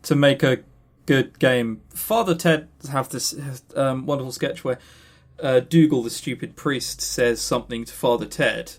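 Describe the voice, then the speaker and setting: A man co-hosting a podcast, chatting casually and opinionatedly about games in a halting, animated way. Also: medium pitch. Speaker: podcast co-host.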